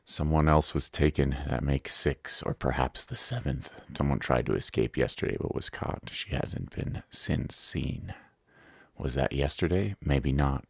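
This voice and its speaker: Very deep-voiced man streaming a game. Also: a little slow, creaky, no room sound, studio quality. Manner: muttering asides, reads game text aloud. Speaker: gaming streamer. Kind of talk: casual game commentary.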